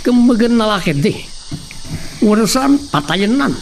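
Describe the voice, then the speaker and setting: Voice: mid-range voice, big pitch swings, unhurried. A performer with an Ilocano accent playing a grumbling, blunt, callous male farm henchman in an audio drama. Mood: callous, arguing. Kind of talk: radio-style Ilocano drama acting. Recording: distant mic, faint noise, crickets and animals.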